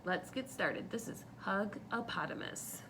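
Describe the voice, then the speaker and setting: A woman reading a picture book aloud for children, pausing to ask them questions about the story. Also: medium pitch, background noise. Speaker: storytime reader.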